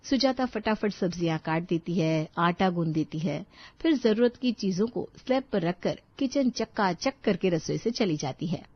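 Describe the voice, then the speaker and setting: A woman's voice: on the low side, lively intonation, moderate pace, slightly rough, dry recording, dull audio. A woman reading scripted bedtime story narration as the storyteller.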